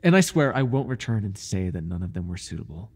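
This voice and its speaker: A man playing an obsessive, groveling high priest who serves a dark master, speaking in a pleading, servile way in an intimate ASMR roleplay. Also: big pitch swings, fast talker, slightly rough, heavily acted. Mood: smug, fawning devotion. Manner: pleading, servile, breathless with devotion.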